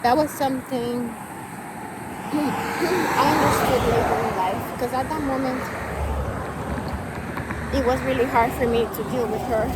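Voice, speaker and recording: Brief: young woman from Cuba, unhurried candid talk, loud traffic behind. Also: high-pitched. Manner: candid, rambling, many fillers and restarts. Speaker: vlogger. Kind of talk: personal talk to camera.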